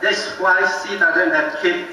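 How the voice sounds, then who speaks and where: An older man with a heavy Taiwanese accent, a native Taiwanese speaker, gives a slow, halting father-of-the-bride wedding toast full of self-deprecating humor. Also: sits high, steady tone. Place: large banquet hall. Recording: echoey banquet hall from far off with faint background noise.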